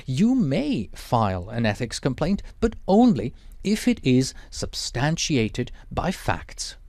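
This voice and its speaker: A man whose first language is Swiss German, teaching a lecture in a measured, explanatory way with Swiss German accent. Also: medium pitch, huge pitch swings, a little mellow, no room sound. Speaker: project management instructor.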